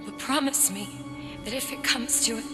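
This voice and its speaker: A woman's voice: a little high, moderate pace, close to an everyday voice. A woman acting as an earnest, strong-willed young escaped captive in a dramatic video game, with music over the dialogue. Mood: grave, pleading.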